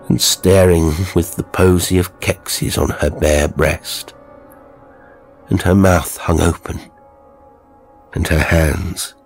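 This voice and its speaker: A male narrator delivers gothic horror with grave theatricality. His voice is very low and husky, and he takes his time over every phrase. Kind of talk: dramatic scripted horror narration.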